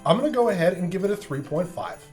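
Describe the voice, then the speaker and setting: A man hosting a review show in casual comic banter, with animated, exaggerated reactions. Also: high-pitched, quick pace, soft background music. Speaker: beer review show host.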